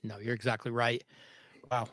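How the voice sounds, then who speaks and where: A man's voice: mid-range voice, level tone, normal speed, a bit bright, no echo, studio quality. A man hosting a conversational podcast interview, speaking emphatically and repeating words for stress. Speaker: podcast host interviewing a guest.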